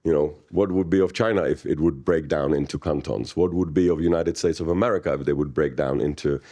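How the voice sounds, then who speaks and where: A man with a Czech accent explains economic ideas in long, lecture-like runs. His voice sits very low, and he drops in an occasional dry joke. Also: fairly quick, mellow. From Czech Republic. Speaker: panel moderator and economist. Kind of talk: explanatory panel talk.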